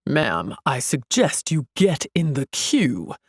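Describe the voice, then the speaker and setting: A woman voicing a surly, scornful male security guard in an audiobook, gruff, curt and sarcastic. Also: a little high, very animated, slow pace, no room sound, studio quality, full character voice. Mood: stern, dismissive.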